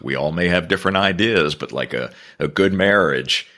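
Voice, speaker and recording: A man answering as a guest on a business podcast, earnest and measured, building his points in repeated phrases. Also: sits low, bright, forceful tone.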